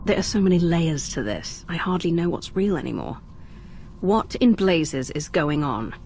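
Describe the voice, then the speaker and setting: Low-voiced, resolute commander. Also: female voice, big pitch swings, normal speed, a little mellow, slight background noise. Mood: troubled. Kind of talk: video game voice acting.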